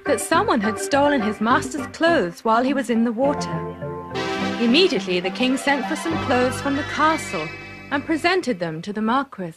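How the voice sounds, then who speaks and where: A woman's voice: sits high, expressive, normal speed, over music. A woman narrator reading a scripted children's fairy tale.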